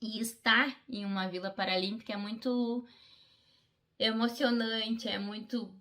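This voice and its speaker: A woman's voice: fairly high pitch, steady tone, measured pace, fairly bright tone. A middle-aged woman, telling a personal story in a heartfelt, conversational way, as an athlete in a documentary interview. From Brazil. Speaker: Paralympic fencer, interviewee.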